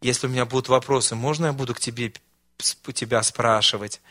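A man's voice: mid-range voice, steady tone, quick pace, pushed and bright. A man voicing a shy, tall young woman student who speaks hesitantly. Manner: shy, embarrassed.

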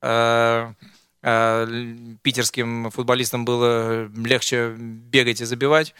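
A man's voice: mid-range voice, monotone, slow, pushed and bright, smooth, no room sound. A man hosting a casual football chat, rambling and teasing, with many hesitations. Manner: rambling, teasing, full of fillers. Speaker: host.

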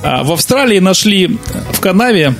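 A man's voice: high voice, sing-song, moderate pace, vocal fry, over music. A man sitting in as a guest news reader on a humorous chat, offhand in manner.

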